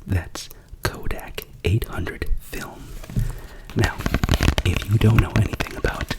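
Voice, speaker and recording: A man's voice: on the low side, poor audio. A young man with a cough whispering a rambling, chatty ASMR unboxing as the channel's host. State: sick with a cough.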